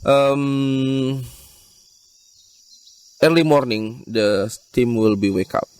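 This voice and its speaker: A man with an Indonesian accent manages a wildlife rescue center and answers interview questions in halting English, pausing often with fillers. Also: medium pitch, a little mellow, clear, resonant tone. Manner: earnest, halting non-native English with frequent fillers. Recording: voice picked up from a distance.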